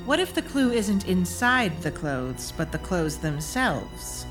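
An actress playing a haughty, disdainful aristocratic vampire in an audio drama, with formal, theatrical, snobbish speech. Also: female voice, on the low side, very animated, slow. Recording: music under the voice. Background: music.

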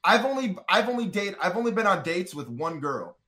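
Laid-back man in his twenties, slightly high. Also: sing-song, a little fast, pushed and bright. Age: 20s. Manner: laid-back, swearing, calls everyone dude and bro. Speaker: guest. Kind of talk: casual chat.

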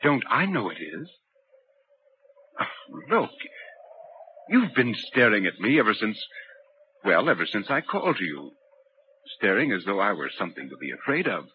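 An actor playing a curious, uneasy newspaper reporter telling a ghost story in 1940s radio drama style. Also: male voice, on the low side, very animated, moderate pace, pauses often. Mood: uneasy, puzzled. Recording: dull, noisy 1940s radio recording.

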